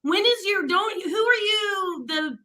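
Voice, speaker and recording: A woman's voice: very high voice, animated, unhurried, bright, forceful tone. A woman radio host in casual chat with her co-host, chatty and laughing often.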